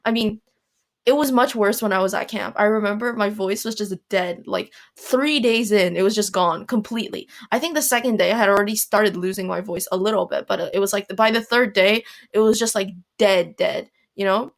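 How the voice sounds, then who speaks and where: Hoarse teen girl, solo podcast host, raspy, rambling and self-deprecating, mid pitch. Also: a little fast. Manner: rambling, self-deprecating, many fillers and restarts. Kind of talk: casual solo podcast monologue. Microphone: home recording mic.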